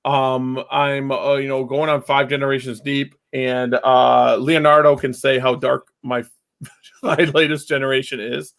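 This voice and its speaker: A man hosting a casual online chat, talking loudly and rambling, laughing often, with his mic set about two feet away. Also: mid-range voice, flat delivery, unhurried, creaky.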